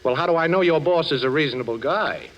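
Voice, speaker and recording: An actor playing a suave, sarcastic ex-thief turned detective in a 1940s radio drama, smooth-talking and unflappable. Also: male voice, a little high, fast talker, fairly bright tone, close to an everyday voice. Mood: wry, cool. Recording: muffled, hissy old 1940s radio recording.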